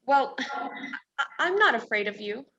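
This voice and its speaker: A high-voiced, prim young woman, played by a female actor, protesting indignantly as a defiant captive in a radio play. The sound is somewhat dull and lo-fi. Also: expressive, a little slow, sharp tone. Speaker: student stage actor. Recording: dull, lo-fi virtual recording.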